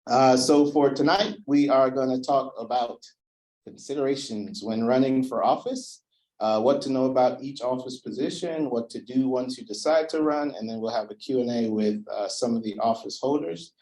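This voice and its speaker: A man hosting a community meeting in a meeting room, explaining the ground rules in a relaxed way with many fillers. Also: medium pitch, normal speed, low-quality recording. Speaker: event host. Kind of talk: community presentation.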